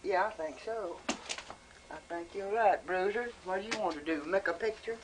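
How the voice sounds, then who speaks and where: A woman's voice: mid-range voice, normal speed. An elderly woman chatting casually and teasingly at home in folksy rural speech. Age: 70s. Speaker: grandmother being filmed at home. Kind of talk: casual chat. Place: small home kitchen, indoors. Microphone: camcorder microphone. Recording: noisy amateur home video.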